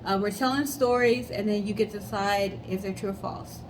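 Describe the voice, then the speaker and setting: A woman's voice: mid-range voice, fairly even intonation, a little fast. Upbeat woman co-host over some room noise. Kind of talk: casual chat and storytelling.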